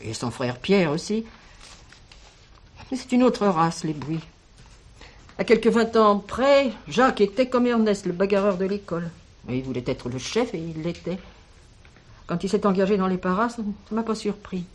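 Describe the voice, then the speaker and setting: An actress playing an older village schoolteacher in a French radio drama, kindly and protective, speaking in a measured, expressive way. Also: female voice, medium pitch, natural voice. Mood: reminiscing.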